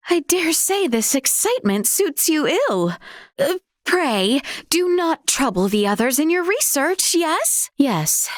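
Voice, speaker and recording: A woman's voice: on the high side, no echo, studio quality. An actress playing a polite, earnest young noblewoman in a fantasy video game, speaking in formal, archaic, courteous phrases. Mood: bright, surprised. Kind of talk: video game character voice acting.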